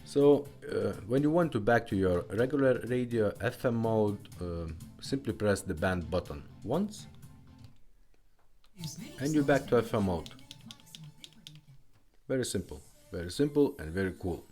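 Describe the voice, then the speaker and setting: Low, lively-pitched man demonstrating a product. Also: unhurried, pauses often, soft background music. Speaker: car radio restorer presenting a product.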